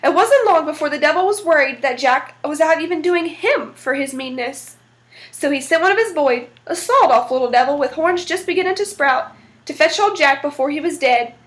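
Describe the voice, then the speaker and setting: A woman reads a folktale aloud with folksy, dramatic color. Her voice sits high. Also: moderate pace, fairly bright tone. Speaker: storyteller. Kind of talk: read-aloud folktale narration.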